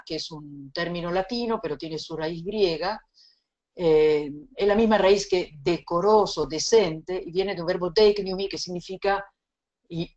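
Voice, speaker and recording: A middle-aged woman from Italy with an Italian accent, giving a recorded lecture in a measured, reflective way with pauses and restarts. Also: lowish voice. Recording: slightly dull lo-fi sound.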